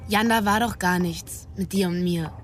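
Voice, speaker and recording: A woman's voice: fairly low pitch, fairly even intonation, normal speed, soft background music, natural voice. An actress playing a curt, businesslike woman who keeps her distance in a radio drama. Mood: distant. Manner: curt, direct. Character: brisk, businesslike woman keeping emotional distance. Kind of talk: naturalistic radio drama acting.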